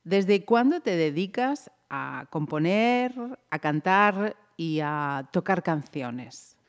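A woman interviewer asking a musician about his career in a friendly, measured way. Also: lowish voice, lively intonation. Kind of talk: radio-style interview.

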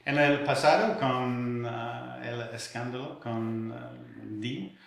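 Radio guest, 60s English man, slow, gravelly, halting second-language speech. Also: medium pitch, roomy sound. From England. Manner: halting, many hesitations and restarts.

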